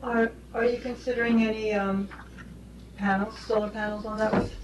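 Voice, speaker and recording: A woman's voice: a little high, level tone, moderate pace. A woman talking informally as a board member in a meeting discussion. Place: small meeting room, indoors. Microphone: distant room camera. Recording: distant, noisy meeting-room recording.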